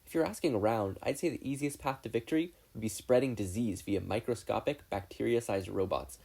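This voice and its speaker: A man's voice: medium pitch, a little fast, vocal fry, darkish tone, husky. A male narrator performs a teenage girl inventor in a hero story. She is snarky and dry, delivering her opinions in a matter-of-fact way.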